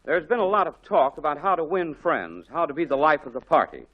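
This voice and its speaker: A man playing a showy, teasing radio quizmaster with a husky voice and an American accent, in 1940s quiz show style. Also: on the high side, big pitch swings, brisk, phone-quality sound.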